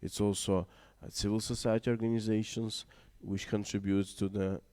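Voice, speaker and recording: A man's voice: lowish voice, level tone, normal speed, a little mellow. A man moderating a youth conference panel, speaking in hesitant, non-native English full of fillers. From Baltic region. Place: conference room.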